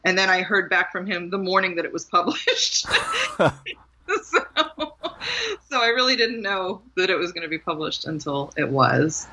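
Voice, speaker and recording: A woman's voice: on the low side, lively intonation, normal speed, pushed and bright, lo-fi sound, slight background noise. A woman from Maryland, an author and memoir teacher, chatting as an interview guest and laughing often. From Maryland, USA. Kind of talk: casual conversational interview.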